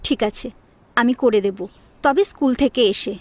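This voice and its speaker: A woman playing a meek, downtrodden schoolgirl in an audio drama, speaking softly, hesitantly and submissively. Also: fairly high pitch, normal speed, no room sound, lightly acted. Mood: quietly pleading. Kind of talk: Bengali audio drama acting. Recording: music under the voice.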